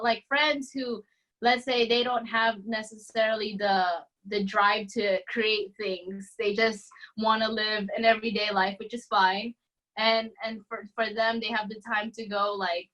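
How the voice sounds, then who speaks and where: A woman hosts a relaxed podcast conversation, talking in a loose, hesitant way full of fillers and restarts. Her voice sits a little higher than most women's. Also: unhurried, poor audio. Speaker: podcast host. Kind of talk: casual chat.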